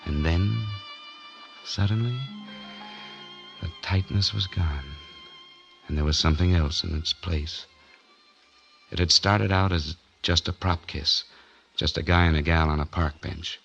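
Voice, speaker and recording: An actor playing a tense young man on the run in a 1940s radio noir drama, with urgent, halting delivery. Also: sits low, creaky, a bit bright, faint music behind, full character voice. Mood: tense narration. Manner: urgent, halting under stress. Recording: dull, hissy vintage radio recording.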